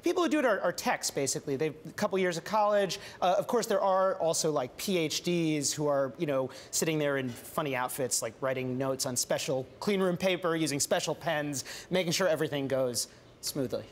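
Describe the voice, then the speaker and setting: A man's voice: on the high side, animated, a little fast, slight background noise. A young man reporter answering questions in a TV news studio, chatty and full of restarts and fillers.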